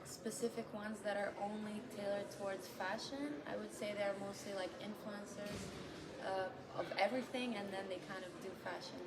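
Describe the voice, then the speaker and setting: A woman of twenty-one answering interview questions casually, hesitant and often pausing mid-sentence. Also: medium pitch, steady tone, reverberant. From Serbia, grew up in the UAE. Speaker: interviewee, college student. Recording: noisy room ambience, loud background noise.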